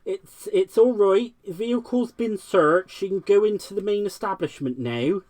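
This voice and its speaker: A man putting on an exaggerated Bristol accent, playing a cheery man who speaks slowly and loudly in a sing-song way. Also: sits high, no echo, voice pushed up, lightly acted. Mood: cooing, sing-song. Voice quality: drawn-out, sing-song, loud. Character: cheery man with broad West Country drawl.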